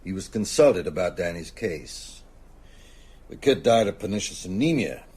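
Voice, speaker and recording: A man's voice: deep voice, lively intonation, moderate pace, a little mellow, slightly rough, heavily acted. An actor playing a sociable, shrewd older small-town man in an audio drama, conversational and probing. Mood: probing, uneasy. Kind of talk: naturalistic audio drama dialogue.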